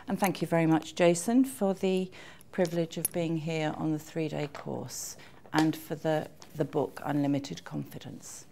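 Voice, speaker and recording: A middle-aged woman with a very low voice and a strong creak in it. She speaks as an author giving informal feedback on a course she attended. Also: a little mellow. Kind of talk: informal testimonial to camera.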